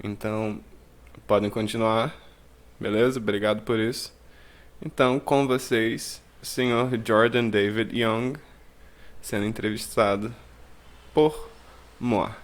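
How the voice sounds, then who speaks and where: A man's voice: fairly low pitch, steady tone, slow pace, pauses often. A man who teaches English, hosting a casual podcast interview in a playful, joking way and switching between Portuguese and English.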